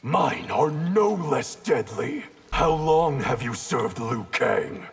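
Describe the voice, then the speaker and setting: An actor playing a cold, bitter male clan leader of assassins in a video game, curt and menacing, with a very gravelly voice. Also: medium pitch, very animated, slow pace, exaggerated voice. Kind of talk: video game character dialogue.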